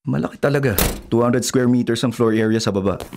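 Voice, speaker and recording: An actor with a Filipino accent playing an exasperated, pleading fiancé in a radio drama, speaking in a colloquial, persuasive, complaining way. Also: male voice, mid-range voice, quick pace, creaky, noisy background, lightly acted. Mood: coaxing. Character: exasperated fiancé, house-hunting, pleading with his partner.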